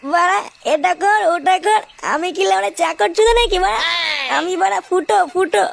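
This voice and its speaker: Extremely high squeaky cartoon boy, creaky, coarse, rebellious, ranting factory kid. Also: normal speed, heavily acted. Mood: exasperated ranting. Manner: ranting, coarse, constantly cursing. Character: foul-mouthed, rebellious young boy factory worker. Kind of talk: comic cartoon dialogue.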